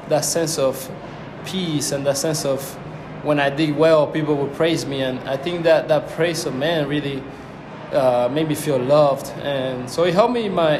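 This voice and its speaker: A fairly high-voiced young man from Cuba, Spanish his first language, speaking slowly and thoughtfully as he feels for the right words. The room rings around him. A steady hiss of background noise sits under his voice. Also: echoey room. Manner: reflective, searching for words. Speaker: guest. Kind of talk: casual conversation.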